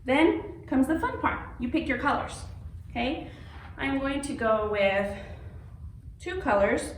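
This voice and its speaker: A woman's voice: high voice, lively intonation, slow. A woman giving an informal step-by-step craft demonstration as an instructor, reassuring and often checking in with an "okay". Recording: roomy, distant mic with faint noise.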